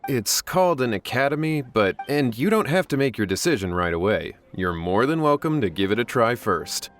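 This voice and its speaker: An actor playing a polite, welcoming tavern manager making a persuasive offer. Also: male voice, medium pitch, lively intonation, moderate pace, soft background music, lightly acted. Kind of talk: game cutscene voice acting.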